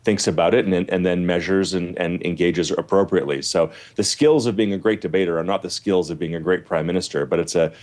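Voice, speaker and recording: A Canadian man commenting on a political panel, with a very deep voice and a fast clip. He rambles through repeated fillers and stacks up emphatic lists of points. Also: fairly even intonation, darkish tone. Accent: Canadian English. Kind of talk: panel discussion.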